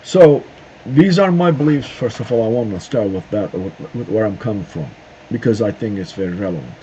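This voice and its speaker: A middle-aged man speaks in a low, soft-edged voice, addressing his viewers in a halting, searching way full of "um" and "uh". The recording is dull and lo-fi. A faint hiss sits underneath. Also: lively intonation. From Turkey. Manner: halting, searching for words with frequent "uh" and "um" pauses. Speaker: video host. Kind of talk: casual opinion monologue to camera. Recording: dull lo-fi sound with faint noise.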